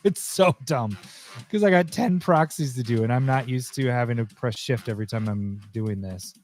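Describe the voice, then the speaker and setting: A slightly hoarse man, losing his voice, talking casually through a livestream as host while he edits, thinking aloud and getting easily annoyed. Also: medium pitch, moderate pace, darkish tone. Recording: faint music behind voice.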